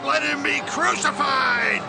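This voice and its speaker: An actor playing a furious member of a mob in a dramatized scripture recording. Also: male voice, very high-pitched, takes it slowly, gravelly, heavily acted. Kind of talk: dramatized scripture audio acting. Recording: loud crowd and music over voice.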